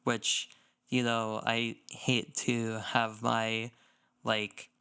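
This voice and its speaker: A man's voice: medium pitch, completely flat delivery, drawn-out words, vocal fry, sharp tone, clean tone. A man co-hosting a podcast, talking casually in a loose, rambling way peppered with "like". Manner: loose, rambling, says "like" often. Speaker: podcast co-host.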